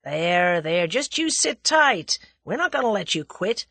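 Brash young guy, blunt and slangy, read by a woman, loud and lively in delivery. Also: medium pitch, moderate pace, dry recording. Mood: gentle. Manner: blunt, slangy, hot-tempered.

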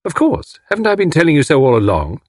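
A man acts out a cheeky young English gentleman sleuth for a storybook. He is flippant, polite and unflappable, pitched up high with very animated intonation. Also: fast talker, no echo, clean studio sound. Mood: obliging, flippant.

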